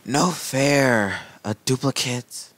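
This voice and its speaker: A woman voicing a polite, easily wounded young man in an amateur animated movie. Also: rumbling low, slow pace, dry recording, exaggerated voice. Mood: indignant.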